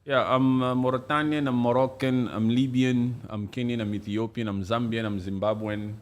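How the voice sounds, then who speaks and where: A man's voice: mid-range voice, fairly even intonation, moderate pace, a little hiss or hum. A man from Africa co-hosting a casual live stream, talking with passion and repeating his points for emphasis. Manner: impassioned, emphatic, repeats phrases. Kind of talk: casual political chat.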